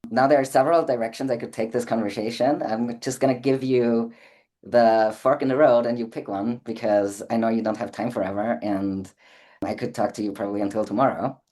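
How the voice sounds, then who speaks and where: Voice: medium pitch, steady tone, fairly quick, a little mellow. A podcast host from Austria, chatting eagerly and asking long, rambling questions. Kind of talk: casual interview chat.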